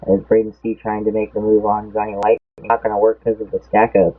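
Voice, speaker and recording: A man's voice: lowish voice, monotone, a little fast. A young man casually commenting on a race, rambling and losing track of his count, his voice a bit off. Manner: rambling, loses track mid-sentence. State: voice feels off. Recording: tinny phone-like audio.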